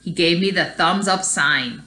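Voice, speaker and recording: A woman's voice: fairly low pitch, measured pace, pushed and bright, slight background noise. A woman teacher reading a children's story aloud expressively and explaining it to young pupils. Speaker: elementary school teacher.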